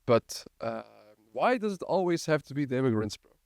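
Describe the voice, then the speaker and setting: A man's voice: mid-range voice, very animated, brisk, no echo, clean studio sound. A young man hosting a podcast, brash and loose, tossing in slang as he talks. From Netherlands. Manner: brash, loose, says "bro" a lot. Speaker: podcast host. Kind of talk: casual podcast chat.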